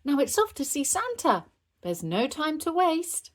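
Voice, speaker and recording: A woman voicing an excited young girl puppy in a storybook, playful and bossy, calling out eagerly like a train driver. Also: high voice, unhurried, pitched above the performer's own voice.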